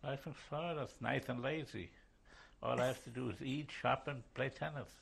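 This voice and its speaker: A man in his seventies, born in Switzerland and raised in Germany, answering questions haltingly in an oral history interview. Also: medium pitch, fairly even intonation, gravelly, slight background noise. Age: 70s. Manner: halting, with pauses and fillers.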